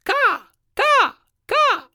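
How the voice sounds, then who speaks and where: Lady doing a nice helpful crow for a kids story, way higher pitch, very animated, reassuring. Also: very slow, no echo, clean studio sound, heavily acted.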